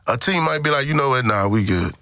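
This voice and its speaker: Bright-voiced American man, emphatic and profane. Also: medium pitch, animated, fairly quick, no echo, clean studio sound. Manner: opinionated, emphatic, profane, repeats phrases for emphasis. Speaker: podcast co-host. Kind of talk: casual sports debate.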